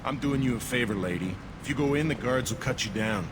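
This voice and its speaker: Game role: cynical alien quarantine guard male actor, mid-pitched, dry and dismissive, reverberant with noisy ambience. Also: fast talker, lightly acted. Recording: reverberant, with loud background noise.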